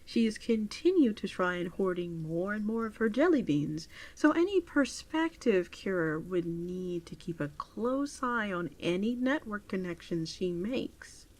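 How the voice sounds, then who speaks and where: A woman playing a gentle, doting virtual pet adoption clerk in a soft-spoken ASMR roleplay, often slipping into a whisper, unhurried and attentive. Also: medium pitch, very animated. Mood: musing, informative.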